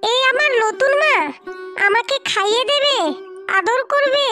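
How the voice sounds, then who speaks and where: Woman as a tiny, high-pitched pleading girl. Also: measured pace, music in the background. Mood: hopeful. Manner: pleading, childlike. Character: sad, meek motherless little girl, pleading and obedient. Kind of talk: cartoon fairy-tale acting.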